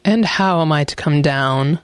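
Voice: mid-range voice, unhurried, clean tone, no room sound, close to an everyday voice. A storybook narrator voicing a greedy, suspicious male wolf who gives bossy, threatening commands. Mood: demanding. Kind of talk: animal fairy tale storytelling.

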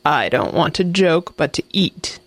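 A woman's voice: deep voice, very animated, a little slow, no echo, heavily acted. A woman voicing a greedy, hungry male wolf who talks bluntly and impatiently.

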